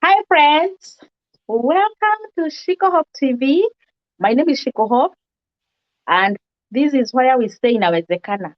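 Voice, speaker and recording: A woman's voice: high-pitched, expressive, measured pace, dull audio. A woman hosting an interview in a casual, upbeat way, greeting guests and viewers. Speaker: host.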